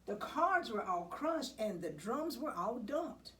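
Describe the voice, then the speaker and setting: A woman reading a children's picture book aloud, with dramatic, expressive delivery and deliberate pauses. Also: a little high. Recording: distant mic, faint background noise.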